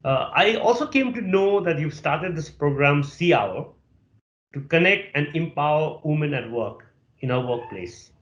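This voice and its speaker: A man's voice: on the high side, animated, measured pace, a bit bright. Polite guy hosting an interview, lots of "you know, like", roomy echo, dull muffled sound. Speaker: interview host. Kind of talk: interview questions. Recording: echoey, dull lo-fi audio.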